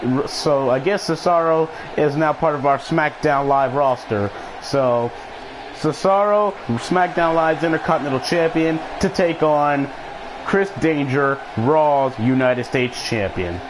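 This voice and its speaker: A man's voice: a little high, unhurried, background noise. A man chatting casually as he hosts a live gaming stream and commentates on the action, often repeating phrases for emphasis.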